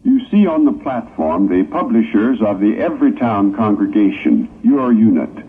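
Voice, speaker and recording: A man narrating a scripted morality play in a measured, explanatory manner. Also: fairly low pitch, very animated. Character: male stage narrator of a congregation morality play. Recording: old tinny narrow-band tape with hiss.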